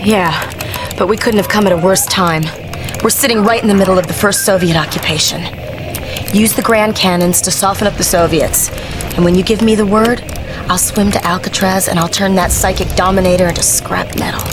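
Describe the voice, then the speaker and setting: A woman's voice: medium pitch, steady tone, brisk, over music, lightly acted. An actress playing a brash, fearless female commando in an action game, confident and playfully gung-ho. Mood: confident briefing. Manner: brash, confident, teasing drawl. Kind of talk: action game character acting.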